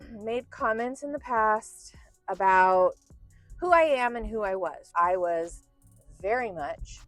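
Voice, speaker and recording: A woman in her forties chats in a relaxed storytime way, now and then cursing casually or saying y'all. Her voice is a little croaky and stuffy, and she takes her time. The sound is close and dry, with faint music underneath. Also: mid-range voice, no room sound. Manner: chatty, swears casually, says y'all and right. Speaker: artist and channel host.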